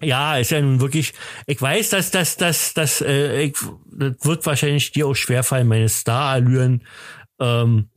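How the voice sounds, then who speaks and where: A man hosting a podcast in a casual, rambling chat, talking haltingly with joking self-importance. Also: mid-range voice, dry recording, studio quality. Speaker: podcast host.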